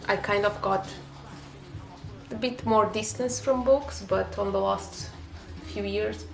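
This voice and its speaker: A woman from Portugal speaking English with a Portuguese accent, her voice gravelly with fry. She talks in a casual, halting way, full of fillers and restarts. The echoey room at home adds a roomy sound. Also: mid-range voice. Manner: hesitant, many fillers and restarts. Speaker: booktuber. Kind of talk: casual vlog chat.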